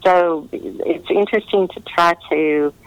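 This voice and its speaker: A woman's voice: fairly low pitch, slow pace, clean tone, no room sound, low-quality recording. A woman novelist chatting casually in an interview, talking in a meandering way with trailing asides.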